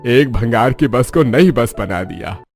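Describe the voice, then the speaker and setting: A man's voice: medium pitch, lively intonation, measured pace, a little mellow, natural voice. Man putting on a homely old father over music. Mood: proud, admiring. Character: older father proud of his sons, homely and plain-spoken. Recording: background music under the voice.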